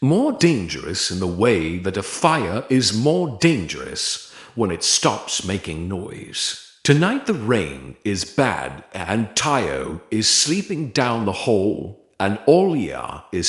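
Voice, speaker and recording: Male narrator for dark romance audiobook: very slow, deliberate phrasing, sweeping intonation, roomy echo. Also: deep voice, sing-song. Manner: deliberate, dramatic pauses between short phrases.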